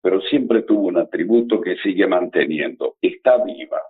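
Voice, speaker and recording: A man's voice: low voice, animated, moderate pace. A radio announcer, a man, talking reflectively in an interview. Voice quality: husky. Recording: thin phone-like line.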